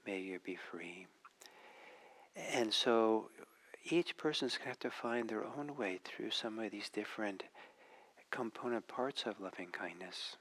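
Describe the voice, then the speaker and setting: A male meditation teacher speaks in a soft, near-whispered hush, with a voice sitting fairly low. He moves very slowly through his phrases, pausing and restarting as he guides listeners. The recording is quiet and low in volume. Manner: soft, slow, hushed, with many pauses and restarts. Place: meditation center, streamed online.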